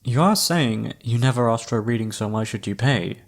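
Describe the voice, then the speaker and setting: A man playing a flirty, theatrical travelling palm reader in a fantasy ASMR roleplay, teasing and dramatic with a breathy, husky voice. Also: mid-range voice, lively intonation, fairly quick. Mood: pleading, haggling.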